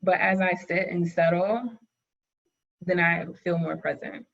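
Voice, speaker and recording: Chatty woman with a gravelly voice. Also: on the low side, fairly even intonation, a little slow, a bit bright, highs cut off. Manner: chatty, laughs often, says "like" a lot. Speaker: course co-teacher. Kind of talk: casual webinar conversation. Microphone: video call.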